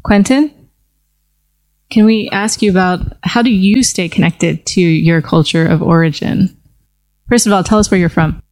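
A woman's voice: on the low side, moderate pace, darkish tone. Chatty American-born woman hosting. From United States. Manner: chatty, many fillers and restarts. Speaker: host. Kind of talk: casual conversation.

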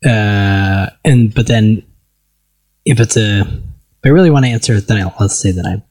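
An American man chatting at a table with friends, pausing and restarting as he thinks. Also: fairly low pitch, a little mellow, dry recording. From United States. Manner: hesitant, restarts often. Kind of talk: casual conversation. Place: seated at a table with others.

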